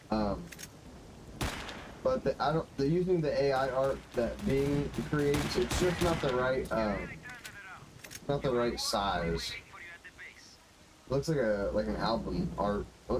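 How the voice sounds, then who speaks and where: A man giving casual, rambling live commentary as a game streamer, muttering and swearing to himself with a stuffy-sounding nose. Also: mid-range voice. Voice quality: stuffy-nosed. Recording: gunfire and game noise behind voice. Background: video game gunfire and ambience.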